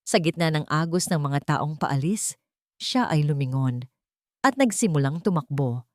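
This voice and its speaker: Casting: female storyteller, slightly low voice, dramatic scripted romance narration, very dry close recording. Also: expressive, unhurried, no echo.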